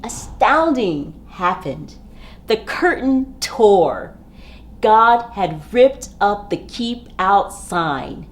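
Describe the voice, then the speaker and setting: Female storybook reader for young children: expressive and emphatic, highly varied intonation, measured pace. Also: a little hiss or hum. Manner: emphatic, dramatic phrasing in simple sentences for children.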